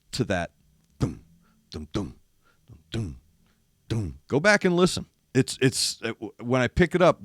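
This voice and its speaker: An older man, a former rock radio DJ, hosts a music reaction show. He is losing his voice and sounds gravelly, speaks emphatically, and mimics drum hits while talking. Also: on the low side, no echo, clean studio sound. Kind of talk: casual music commentary. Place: studio in east Nashville.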